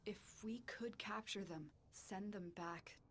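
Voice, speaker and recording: A woman playing a composed, authoritative guide in a game drama, giving measured, clinical instruction. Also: mid-range voice, fairly bright tone, a little hiss or hum. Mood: thoughtful.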